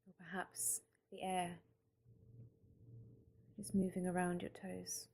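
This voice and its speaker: A woman guiding a mindfulness meditation in a calm, gentle, unhurried manner with long pauses between phrases. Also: on the low side, breathy edge. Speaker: meditation guide. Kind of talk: slow guided mindfulness meditation narration.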